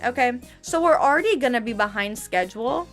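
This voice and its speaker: A woman's voice: fairly high pitch, lively intonation, brisk, a bit bright, soft background music. A twenty-one-year-old woman with a slight lisp, ranting about her barista job in a casual vlog, exasperated and slangy, checking in with "okay?" over and over. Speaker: vlogger and barista trainer.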